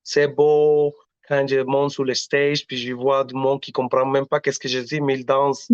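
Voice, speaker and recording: Singer guest, male, halting and earnest, middle pitch, muffled lo-fi recording. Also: little pitch movement, clean tone, no room sound. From Latin America. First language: Spanish. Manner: earnest, halting, frequent restarts. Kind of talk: casual interview talk. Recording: dull lo-fi audio.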